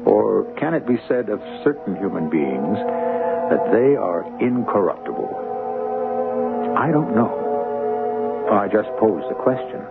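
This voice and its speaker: Creaky, lowish-voiced male radio host over music. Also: lively intonation. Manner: musing, rhetorical, deliberate. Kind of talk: scripted, philosophical radio introduction. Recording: tinny 1970s radio recording. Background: prominent music.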